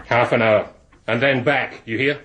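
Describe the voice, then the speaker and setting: A man's voice: mid-range voice, steady tone, a little slow, fairly bright tone, natural voice, rough recording. Stern, pious Victorian father, acted by a man. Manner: solemn, commanding. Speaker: actor in a radio drama. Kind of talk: radio drama acting.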